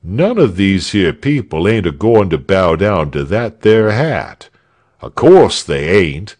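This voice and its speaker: A man's voice: low-pitched, big pitch swings, slow pace, a little mellow, exaggerated voice. Man putting on a grumbling common soldier. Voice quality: rough dialect, dropped g's. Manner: grumbling, boastful. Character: gruff uneducated soldier in armor, grumbling.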